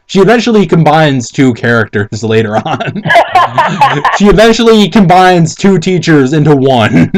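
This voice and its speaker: A man with a fairly high, very lively voice reads fan fiction aloud in a mock dramatic style. He drops into casual, incredulous commentary and swears freely. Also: a little slow. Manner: incredulous, sweary, theatrical, breaking into laughter.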